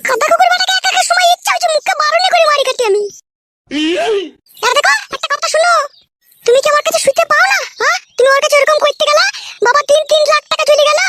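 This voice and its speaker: A performer voicing a sharp-tongued, nagging wife in a comedy cartoon. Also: very high-pitched, fast talker, heavy background noise. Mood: demanding, scolding. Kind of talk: Bengali comedy cartoon dubbing.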